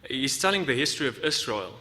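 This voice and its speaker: A man from South Africa giving a prepared academic lecture, explaining in a measured way with deliberate emphasis. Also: medium pitch, very animated, bright, forceful tone. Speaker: academic researcher giving a talk. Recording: reverberant hall.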